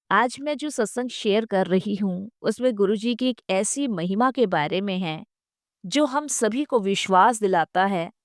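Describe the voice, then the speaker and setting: A woman's voice: mid-range voice, no echo, clean studio sound. A woman reading scripted devotional narration in a reverent, earnest manner, often repeating phrases for emphasis.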